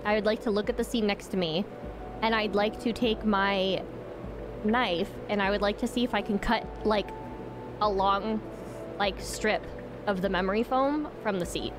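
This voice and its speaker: A woman's voice: medium pitch, normal speed, over music. A woman playing a tabletop game, stating her character's actions and scolding in character. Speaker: player. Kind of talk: in-character roleplay and describing actions.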